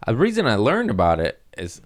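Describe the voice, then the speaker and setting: A man's voice: fairly low pitch, wildly swooping pitch, fairly quick. A man hosting a podcast, chatting in a casual, comedic way with plenty of slang and swearing. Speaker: podcast host.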